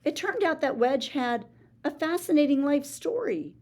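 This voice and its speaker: A woman reading a children's picture book aloud, expressive, with gasps and hushed asides to her listeners. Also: sits high, moderate pace. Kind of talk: read-aloud storytelling for children.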